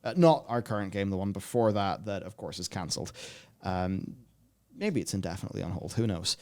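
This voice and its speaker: A man's voice: low voice, fairly quick, darkish tone. A man hosting a casual gaming discussion, reading and answering viewer comments in a rambling way as he thinks aloud.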